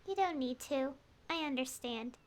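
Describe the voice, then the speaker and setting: Woman voicing a young adventurer lady, high voice, gentle and understanding, game dialogue. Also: moderate pace, slight background noise, natural voice. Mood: reassuring. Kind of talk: game voice acting.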